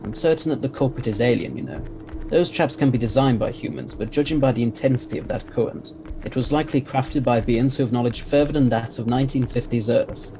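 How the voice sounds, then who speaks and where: A man playing a brisk, authoritative time-travelling hero who explains things with assurance in an audio drama. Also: medium pitch, background noise, lightly acted. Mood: assured.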